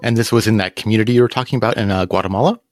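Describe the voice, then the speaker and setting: Fast-talking male podcast host. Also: lowish voice, fairly even intonation, no echo, clean studio sound. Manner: plain, brief questions. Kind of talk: casual interview questions.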